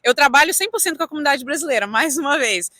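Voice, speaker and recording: A woman's voice: sits high, animated, very fast, pushed and bright, dry recording, clean studio sound. A young woman from Canada with a Brazilian Portuguese accent, chatting casually and telling anecdotes as an interview guest. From Toronto, Canada. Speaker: interview guest, real estate agent.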